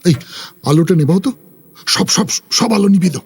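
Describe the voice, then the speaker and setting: An actor playing an uneasy, hesitant newlywed husband in a horror audio drama. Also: male voice, high-pitched, expressive, normal speed, slightly rough, no echo, heavily acted. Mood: tense, irritated. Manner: hesitant, trailing off.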